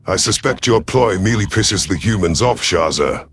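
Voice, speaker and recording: A low-voiced, stern alien predator commander acted by a man in a sci-fi audio story. The delivery is commanding and biting, with a sardonic growl. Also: lively intonation, normal speed, background noise, lightly acted. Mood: cold, mocking.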